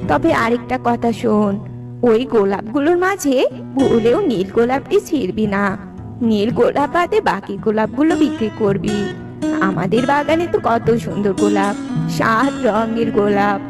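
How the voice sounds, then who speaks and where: Kindly old grandmother owl, voiced by a woman, highish voice. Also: lively intonation, a little slow. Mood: kindly advising. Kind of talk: children's cartoon character dubbing. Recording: music behind the voice.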